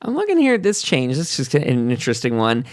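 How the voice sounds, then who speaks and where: A man's voice: mid-range voice, huge pitch swings, quick pace, no echo, clean studio sound. A man hosting a casual roundtable stream, rambling through notes and adding offhand asides as he reads aloud. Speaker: podcast host and panel moderator. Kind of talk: casual livestream roundtable, reading and commenting on notes.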